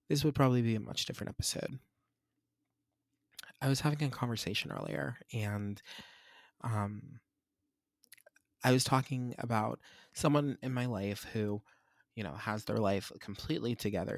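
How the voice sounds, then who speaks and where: Reflective young man with a gravelly voice. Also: medium pitch, moderate pace, long pauses, a little mellow, no room sound, clean studio sound. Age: 20s. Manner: candid, rambling, self-searching. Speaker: podcast host. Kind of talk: reflective solo podcast monologue.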